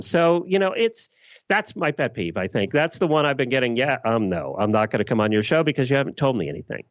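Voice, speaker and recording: A man's voice: on the high side, fairly bright tone, no echo, muffled recording. A man giving blunt, emphatic answers in a conversational podcast interview. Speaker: podcast guest.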